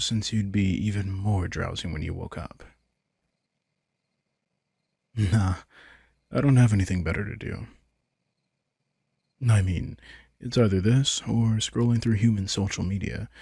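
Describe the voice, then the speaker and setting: A man plays a protective vampire king in an intimate audio drama. His voice is very deep and heavily husky, with an unhurried pace. He speaks in a hushed, caring way with a wry edge. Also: no echo, clean studio sound, exaggerated voice. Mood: soft, teasing. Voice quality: husky, gravelly.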